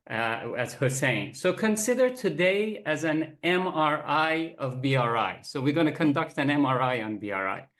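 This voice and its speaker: A man moderating a panel discussion, speaking in a measured way with frequent fillers. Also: mid-range voice, a bit bright.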